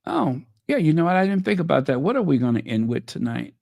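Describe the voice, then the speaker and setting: A man's voice: on the high side, very animated, quick pace, gravelly, a little mellow, no room sound, clean studio sound. A man hosting a casual livestream chat, losing his voice and making sarcastic, mocking asides. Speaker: livestream host.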